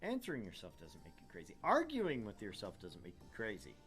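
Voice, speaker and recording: A man's voice: lowish voice, wildly swooping pitch, brisk, music in the background. A man reading a visual novel aloud as narrator, dropping in wry, joking casual banter. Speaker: let's play host narrating a visual novel.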